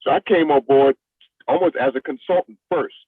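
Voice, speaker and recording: A man's voice: a little high, moderate pace, no room sound. A man who directs and acts for the stage, talking casually and enthusiastically in an interview, repeating phrases for emphasis. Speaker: stage play director and actor. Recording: thin phone-like call audio.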